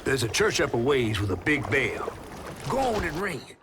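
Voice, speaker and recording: An actor playing a folksy, cheeky giant catfish storyteller in a video game, with a drawling American Southern accent. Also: male voice, medium pitch, very animated, normal speed, background noise, natural voice. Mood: wary, urging. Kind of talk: video game character acting and storybook narration.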